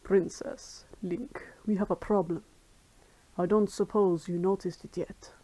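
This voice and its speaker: A woman's voice: mid-range voice, steady tone, unhurried, dark tone, husky, rough recording. A woman voicing a proud, dour male bird warrior with grave, clipped, theatrical delivery.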